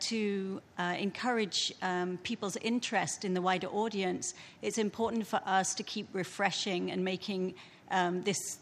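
A woman in a large conference hall making a polite, halting comment from the floor, with many fillers. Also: medium pitch, a little hiss or hum. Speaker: conference participant from a toilet maker. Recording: long hall reverb with faint ambience.

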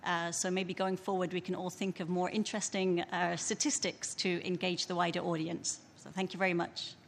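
Woman with a lowish voice at a conference, polite and halting, long hall reverb with faint room noise. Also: level tone, gravelly. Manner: polite, self-deprecating, halting with many fillers. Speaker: conference participant from a toilet maker. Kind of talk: prepared comment from the floor.

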